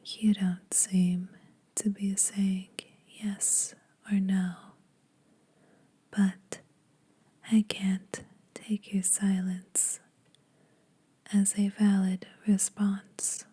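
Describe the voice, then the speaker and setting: A woman playing a teasing, secretly kind vampire in an intimate, breathy whispered ASMR roleplay. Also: mid-range voice, little pitch movement, mellow, exaggerated voice. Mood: teasing, coaxing. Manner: slow, intimate, dryly teasing. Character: teasing, lonely female vampire, ageless, secretly kind to mortals.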